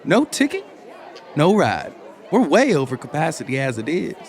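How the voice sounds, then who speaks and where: A man's voice: a little high, wildly swooping pitch, normal speed, a faint crowd. A man voicing a curt, officious, dismissive doorman at a bar in an audiobook. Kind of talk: audiobook character acting.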